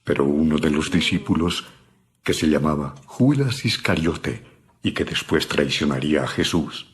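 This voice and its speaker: A man's voice: rumbling low, lively intonation, moderate pace. A man narrating a dramatized audio Bible from a script.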